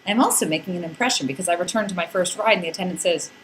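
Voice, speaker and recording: A woman's voice: lowish voice, rapid-fire, slight background noise, poor audio. A woman narrating a scripted first-person comic story in a playful, wry, theatrical way with dramatic emphasis. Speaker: podcast narrator reading a blog.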